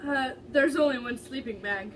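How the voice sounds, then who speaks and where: Woman voicing a hesitant young man, high-pitched. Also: close to an everyday voice. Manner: halting. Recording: distant mic with loud background noise.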